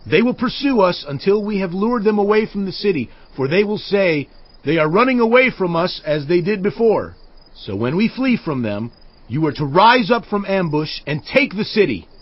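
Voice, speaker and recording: An actor playing an earnest, authoritative Israelite war leader with forceful, declamatory delivery in a dramatized audio Bible. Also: male voice, high voice, big pitch swings, normal speed, highs cut off. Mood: urgent, commanding. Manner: forceful, declamatory, urgent orders.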